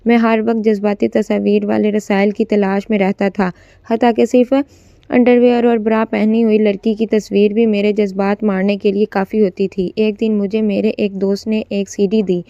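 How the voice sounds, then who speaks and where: A woman's voice: medium pitch, little pitch movement, normal speed, warm tone, no room sound, muffled recording. A woman narrating a scripted first-person story, occasionally stumbling as she reads.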